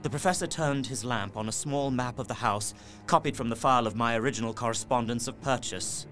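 An actor playing an earnest young gentleman who narrates his horror journal in an audio drama, in a measured, literary and haunted manner. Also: mid-range voice, faint music behind, lightly acted. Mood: haunted, uneasy.

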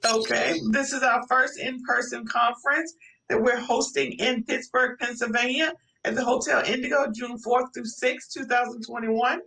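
Woman co-host, deliberate announcement read, gravelly and bright, distant mic. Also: on the high side, steady tone. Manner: slow, deliberate. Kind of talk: reading an announcement.

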